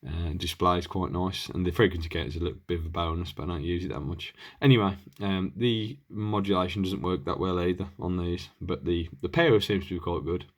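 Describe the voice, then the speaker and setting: Low-voiced man, rambling through a demo. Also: a little fast, few pauses. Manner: rambling, hesitant, thinking aloud while handling gear. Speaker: hobbyist presenter reviewing radio equipment. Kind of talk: casual hands-on demonstration, unscripted.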